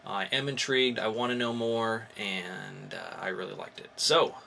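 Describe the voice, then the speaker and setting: A man's voice: on the low side, fairly even intonation, normal speed, creaky, sharp tone. A man co-hosting a podcast, chatting casually and enthusiastically about comics in an informal way. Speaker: podcast co-host. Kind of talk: casual comic book review chat. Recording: faint background noise.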